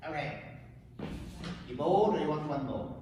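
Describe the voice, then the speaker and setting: A man in his seventies from Wales, reading his own poems in a measured, deliberate way, with quiet asides to a small audience. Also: on the high side, dull audio. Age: 70s. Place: gallery room. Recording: echoey hall, distant mic, background noise.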